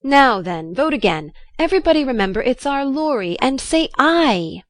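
A woman reading a spirited tomboy girl who plays a gentleman orator in a storybook, earnest and mock-parliamentary. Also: mid-range voice, animated, moderate pace, no room sound, studio quality, lightly acted. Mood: excited.

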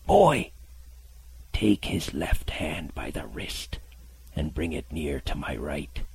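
A man voicing a cruel blind male pirate beggar, shifting from a high whining plea to hissed, whispered threats. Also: takes it slowly, exaggerated voice. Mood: menacing whisper. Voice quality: very husky, breathy. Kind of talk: audiobook character voice.

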